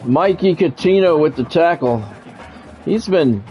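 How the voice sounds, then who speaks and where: A man calling live play-by-play of a football video game in measured, short clipped announcer phrases. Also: on the high side, expressive. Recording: dull lo-fi audio with music behind.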